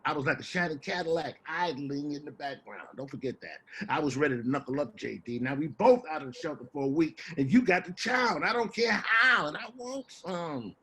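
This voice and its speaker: An actor playing a rambling, wheedling homeless man with American street slang and a husky, stammering delivery, in a stage play reading. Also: fairly high pitch, brisk, few pauses, fairly bright tone. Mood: argumentative. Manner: stammering, repeats words, folksy street slang.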